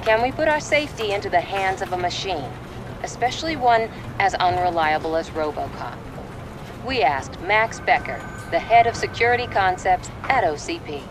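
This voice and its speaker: A woman plays a probing television reporter in a video game. Her mid-range voice is crisp and dramatic in broadcast style, with clear noise behind it. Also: mid-range voice, animated, moderate pace, lightly acted. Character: probing, insistent female TV news reporter.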